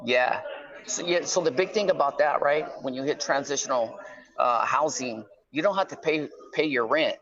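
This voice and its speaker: Middle-aged male caller, conversational explainer, medium pitch, slightly muffled lo-fi phone sound. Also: normal speed, crowd noise. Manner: conversational, explanatory, peppers talk with "right?" and "you know". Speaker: guest calling from prison. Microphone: phone line. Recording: slightly dull, lo-fi phone call.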